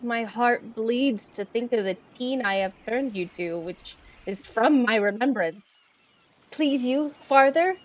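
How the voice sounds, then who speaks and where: A woman's voice: a little high, slow, highs cut off. A woman playing a dutiful, tender-hearted young daughter of an exiled duchess in Shakespearean audio drama, speaking earnestly and with exclamation. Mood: distressed, tender.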